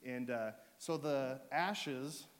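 A man with a sore throat and a fading voice, preaching to his church in a relaxed way, full of ums and restarts. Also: medium pitch, very slow. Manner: joking, relaxed, with fillers and restarts. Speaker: pastor. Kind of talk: sermon.

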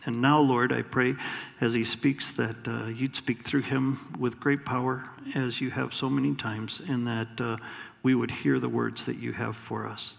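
A male pastor with a gravelly, creaky voice welcomes a guest and prays over him, pausing often with fillers. His voice rings out in a reverberant church sanctuary with a long echo. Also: mid-range voice, little pitch movement. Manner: warm halting delivery with many fillers.

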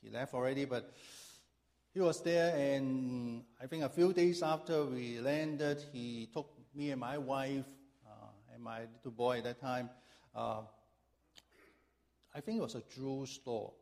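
A man's voice: medium pitch, fairly even intonation, unhurried, vocal fry, darkish tone. A middle-aged male pastor from Hong Kong preaching in a church, reading scripture and then telling a humorous personal story with frequent fillers.

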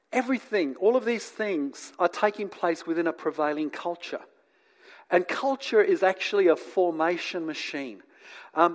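A man from England who grew up in New Zealand and lives in Australia, preaching in a conversational, teacherly way with asides to his listeners and deliberate pauses. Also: high voice. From born in England, grew up in New Zealand, lives in Australia. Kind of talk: conversational sermon to an audience.

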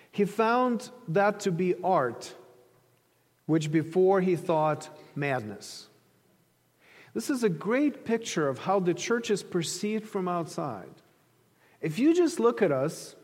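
A male pastor from Ukraine with a rather high voice delivers a sermon in a conversational way, explaining through stories and illustrations. His words carry the long reverb of a hall. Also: darkish tone. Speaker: pastor preaching to a congregation. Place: large reverberant room, preaching to an audience.

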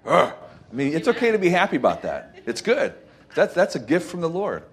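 A man giving an informal, conversational sermon as a preacher, folksy and halting, repeating phrases and checking with his listeners for agreement. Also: fairly high pitch, huge pitch swings, slightly rough. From Costa Rica.

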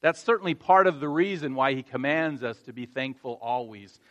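A man's voice: medium pitch, expressive, moderate pace, a bit bright, muffled recording. Earnest man preaching a sermon. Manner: conversational with self-deprecating laughs, rising to earnest emphasis. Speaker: pastor.

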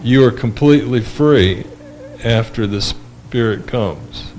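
A man with a big voice in a church discussion group, reading a scripture passage aloud and then reflecting on it slowly and deliberately. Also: mid-range voice, darkish tone, slight background noise. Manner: slow and deliberate.

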